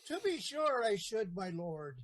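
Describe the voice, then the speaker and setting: A woman's voice: mid-range voice, very animated, measured pace, crowd noise. A woman acts out a half-drunk old gardener in a comic period stage play. The old fellow is stubborn and funny. The voice sits in an ordinary female register. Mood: tipsy, boastful.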